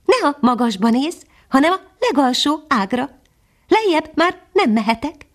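A woman voicing a modest little female nightingale in a storybook, speaking gently and patiently. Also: high voice, very animated, voice pushed up. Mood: helpful. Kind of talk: storybook character voice.

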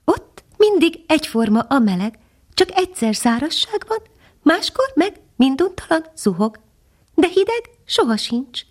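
A woman voicing a modest little female nightingale in a storybook, speaking gently and patiently. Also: high-pitched, very animated, voice pushed up. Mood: explaining. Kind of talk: storybook character voice.

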